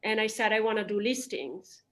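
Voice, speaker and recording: A woman's voice: medium pitch, animated, a bit bright. Italian-born woman agent in casual chat. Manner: chatty, with fillers and quick laughs. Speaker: real estate agent. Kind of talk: interview answers.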